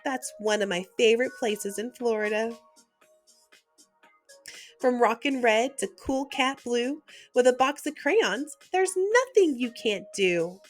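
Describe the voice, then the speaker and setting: A woman gently reading a picture book aloud at bedtime as the host of a read-aloud channel, talking to small children in an expressive way. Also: a little high, slow pace, soft background music.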